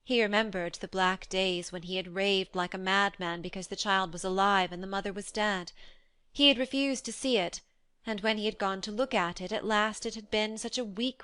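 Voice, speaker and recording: A woman reading a classic novel aloud, her voice smooth and resonant. She tells the story in a measured, expressive way. The sound is close and dry, on a studio mic. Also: mid-range voice, dry recording. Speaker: narrator. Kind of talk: audiobook dramatic reading.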